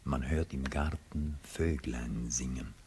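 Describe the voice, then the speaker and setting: A man narrating stage directions for a 1960s radio play in a measured, formal, precise manner. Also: rumbling low, heavily acted. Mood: descriptive. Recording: faintly hissy old radio recording.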